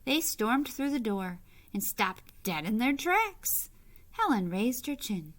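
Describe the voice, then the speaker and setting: A woman reading a children's picture book aloud like a teacher, with expressive, deliberate phrasing and short asides to young listeners. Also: fairly high pitch. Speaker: read-aloud storyteller, teacher-like.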